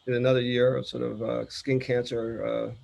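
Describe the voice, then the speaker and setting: A man talking casually as an interview guest, in a halting, self-deprecating way. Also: medium pitch, completely flat delivery, creaky, darkish tone.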